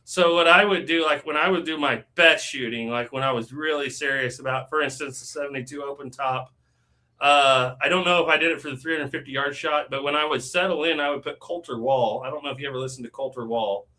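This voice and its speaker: A man's voice: mid-range voice, fairly quick, bright, forceful tone. A man talking as a guest on a casual live stream, chatty, with lots of fillers and easy laughs.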